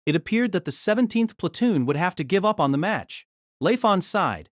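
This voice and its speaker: A man narrating an audio drama in scripted storytelling style, expressive and engaged. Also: normal speed, dry recording, clean studio sound. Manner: expressive storytelling with lively pitch.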